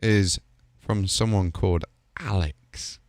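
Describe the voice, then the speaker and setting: A man's voice: low voice, slow, loud music behind the voice. A man hosting a product showcase, hyped and rambling, with filler words. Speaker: host.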